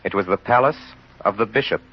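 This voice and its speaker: A man narrating a 1930s radio drama in a grave, measured storytelling manner. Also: fairly low pitch, breathy edge. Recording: muffled old radio recording.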